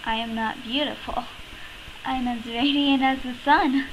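A woman acts out a brave, fiercely protective teenage girl with a very high voice. She delivers short declarations for a drama audition. The recording buzzes and crackles clearly. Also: fairly even intonation, unhurried. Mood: earnest. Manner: declarative, repeated audition lines. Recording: crackly, buzzing built-in computer microphone.

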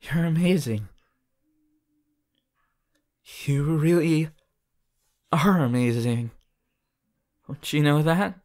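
A woman playing an eager, affectionate teenage boy hero in a fan ASMR roleplay, gushing and halting as she playfully addresses the listener. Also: sits low, darkish tone. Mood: tender, adoring. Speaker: voice actor in a fan character audio.

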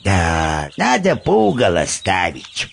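An actor playing a grumbling old village grandpa in a cartoon, gravelly, muttering folksy complaints. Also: male voice, low-pitched, wildly swooping pitch, slow, background noise, heavily acted. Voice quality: creaky, gravelly.

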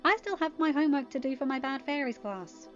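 A woman's voice: high voice, expressive, fairly quick, muffled recording, soft background music, voice pushed up. A woman voicing a sarcastic, impatient teenage girl in an amateur storybook reading.

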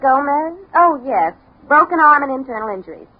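An actress playing a young, prim and firm night nurse in a 1950s radio drama. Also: female voice, high voice, expressive, moderate pace. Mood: polite. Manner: polite, firm. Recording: tinny, noisy 1950s radio recording.

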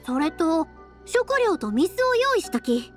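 A woman playing a cheerful, caring, energetic young fireworks maker with a Kansai accent in an anime game. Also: extremely high pitch, slow, faint music behind, exaggerated voice. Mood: chatty, upbeat.

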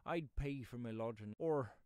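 A man's voice: medium pitch, unhurried, dark tone, clean tone, no room sound, clean studio sound, lightly acted. A man voicing a shabby, furtive little pedlar in a storybook reading, humble and hesitant, with rustic pleading speech. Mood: submissive, pleading. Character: shabby, furtive, undersized pedlar, submissive and wheedling. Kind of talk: audiobook character voice.

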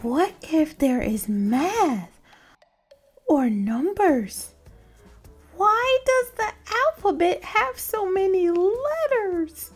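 A woman performs a whiny young cartoon pigeon from a storybook, fretting dramatically. The voice is extremely high and drags words out very slowly, exclaiming theatrically. Music plays clearly behind it. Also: full character voice. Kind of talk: children's storybook read-aloud.

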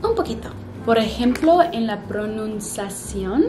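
Young adult woman, relaxed self-correcting vlog chatter, close camera in an echoey hotel room. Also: medium pitch, background noise. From Canada. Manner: chatty, pauses to search for words and corrects herself. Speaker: vlogger. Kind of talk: casual get-ready-with-me chat to camera. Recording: roomy room with music underneath.

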